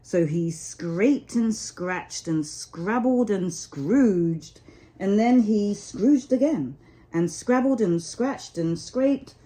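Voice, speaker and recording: A woman storyteller reading a children's story expressively, with deliberate, dramatic phrasing. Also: medium pitch, lively intonation, dark tone.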